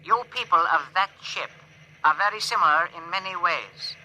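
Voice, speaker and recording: A man's voice: sits high, normal speed, bright, forceful tone, low-quality recording. An actor in a 1950s radio drama voicing an earnest, cautious male alien with stilted, formal phrasing through a radio-transmission effect. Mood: earnest, sorrowful.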